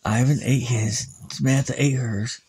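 A man with a husky voice narrates a scary bedtime tale. His telling is improvised, plain-spoken and folksy. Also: medium pitch, steady tone, a little slow, darkish tone, dry recording. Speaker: storyteller.

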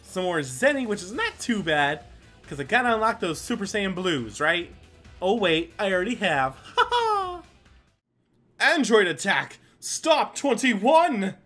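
Excitable man doing game commentary, lively pitch. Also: measured pace. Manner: foul-mouthed, excitable. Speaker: gaming video host. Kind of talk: casual let's play commentary. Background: game music.

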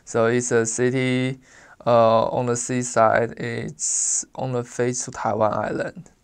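A young man from China speaks accented English as a second language, pausing often to find his words and laughing easily. His voice is gravelly with a flat, even pitch. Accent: Chinese. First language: Chinese. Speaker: interviewee. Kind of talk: casual life-story interview.

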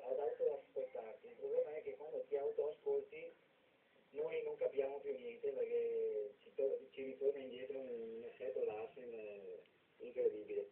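A man with a mid-pitched voice chats casually as a radio operator. He comes through far from the mic on a thin, phone-like radio transmission. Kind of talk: casual radio sign-off chat. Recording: tinny distant radio transmission.

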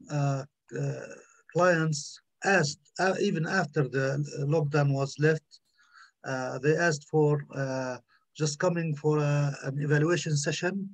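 A middle-aged man with an Arabic accent speaks on a video call, pausing often and filling gaps with "uh." His pitch sits a little higher than most men's. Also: vocal fry. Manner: hesitant, many fillers. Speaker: panelist, speech-language clinician. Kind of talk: panel discussion answer. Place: indoors, in front of a virtual backdrop.

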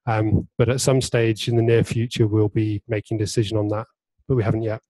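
A man's voice: on the low side, level tone, fairly quick, dark tone, no room sound, low-quality recording. A man answering questions after a tech talk at a meetup, hesitant, with many restarts and fillers. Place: meetup talk venue indoors.

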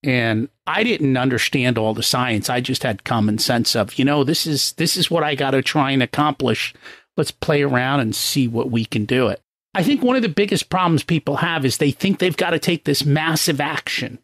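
A middle-aged man with a loud voice hosts a podcast, swinging into animated storytelling as he talks with his guest. His voice sits at an ordinary pitch, and the recording is close and dry. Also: normal speed, dry recording. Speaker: podcast host. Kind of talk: conversational interview.

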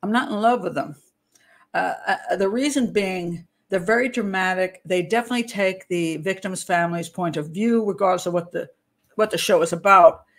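An older woman, a criminal profiler, hosting a casual livestream from a small room at home, talking into a webcam. She rambles, interrupts herself and laughs easily, and her voice is a little hoarse. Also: on the low side. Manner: chatty, rambling, self-interrupting, quick to laugh. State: hoarse, clearing throat.

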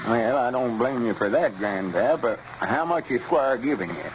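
A man's voice: mid-range voice, expressive, normal speed, natural voice. An older country storekeeper, played by a male radio actor, scheming and self-important. He grumbles in a folksy rural Arkansas drawl, in the style of 1940s radio comedy. The sound is dull and plainly noisy. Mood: coaxing. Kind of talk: 1930s-40s radio comedy acting. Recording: muffled, noisy old radio recording.

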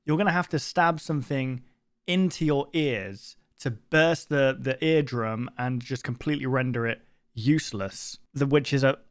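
A young man in his twenties hosts a reaction video in a casual, conversational way. His voice is mid-pitched, and he speaks close to a desk microphone in a room at home. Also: normal speed, smooth, dry recording. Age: 20s. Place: bedroom streaming setup indoors.

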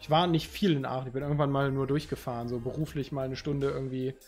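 A man's voice: mid-range voice, steady tone, fast, a little mellow, faint music behind. A man, chatting casually and jokingly with his stream viewers through a headset in a room at home. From Northern Germany. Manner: loose and joking, reads chat aloud, slangy.

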